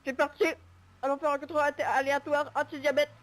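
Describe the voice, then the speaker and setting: Woman playing a high-pitched, earnest, deluded medic. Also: level tone, fast, close to an everyday voice. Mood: earnest, mock-formal. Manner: mock-formal, declarative. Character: deluded, earnest medic crusading against diabetes in vehicles. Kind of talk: improvised online game roleplay.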